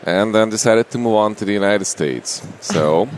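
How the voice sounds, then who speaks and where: A middle-aged man with a very low voice and a Russian accent answers questions conversationally as an interview guest. There is noticeable ambient noise behind him, like a busy public place with traffic. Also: normal speed. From Russia, Saint Petersburg.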